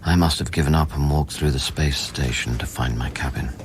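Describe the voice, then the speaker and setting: Deep-voiced, earnest space scientist. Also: male voice, steady tone, slight background noise. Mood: brooding, reflective. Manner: brooding, deliberate narration that turns to urgent questioning. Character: earnest male scientist newly arrived on space station, recounting events. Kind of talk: radio drama acting with first-person narration. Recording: music behind the voice.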